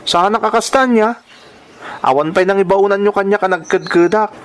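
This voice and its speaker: A man's voice: high voice, moderate pace, a little hiss or hum, lightly acted. A man playing an eager, bashful young farm lad in a radio-style drama, hesitant and protesting, with an Ilocano accent.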